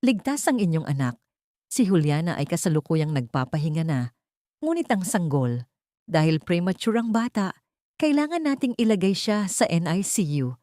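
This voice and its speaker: A weary male doctor delivers news calmly and plainly, as read by a woman narrator. The tone is low and dark, close and dry. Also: normal speed, no room sound. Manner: calm, informing. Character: tired but relieved male hospital doctor.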